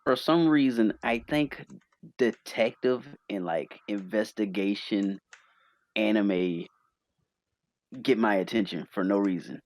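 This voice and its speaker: A man's voice: on the low side, slow pace, darkish tone, no room sound. A man co-hosting a podcast, chatting casually with friends. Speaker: podcast co-host.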